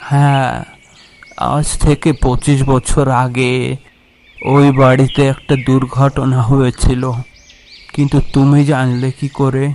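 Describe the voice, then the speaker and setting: A man playing a grave, measured cremation-ground priest in a horror cartoon. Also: medium pitch, little pitch movement, darkish tone, a little hiss or hum, natural voice. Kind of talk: Bengali horror cartoon voice acting.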